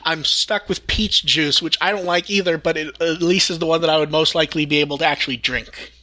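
Bright-voiced American man chatting on a podcast. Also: fairly high pitch, steady tone, brisk. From California, United States. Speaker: podcast host. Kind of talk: casual podcast chat.

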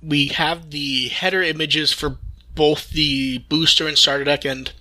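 A man's voice: a little high, fairly even intonation, unhurried, pushed and bright, rough recording. A man hosting a casual fan news discussion, talking in an enthusiastic, rambling way and occasionally swearing. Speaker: podcast host.

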